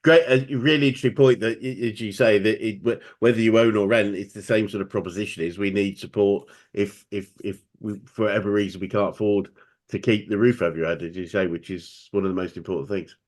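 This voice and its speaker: A man's voice: lowish voice, steady tone, fairly quick, darkish tone. Man interviewing, encouraging. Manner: encouraging, frequent affirmations. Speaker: interviewer, business coach. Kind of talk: conversational interview.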